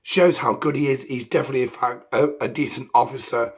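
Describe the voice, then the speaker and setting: A man hosts a casual game review, his voice croaky from illness, rambling with ums and self-corrections. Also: medium pitch, fairly even intonation, fast talker. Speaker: gaming channel host.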